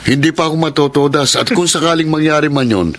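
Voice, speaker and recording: An actor with a Filipino accent playing a carefree, reckless brother-in-law in a casual radio drama style. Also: male voice, on the high side, fast talker, fairly bright tone.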